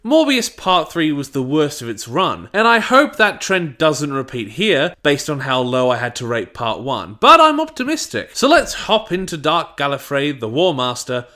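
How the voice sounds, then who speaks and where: A man's voice: on the high side, big pitch swings, moderate pace, hardly any pauses, pushed and bright. A man narrating a scripted review, stressing his phrases emphatically.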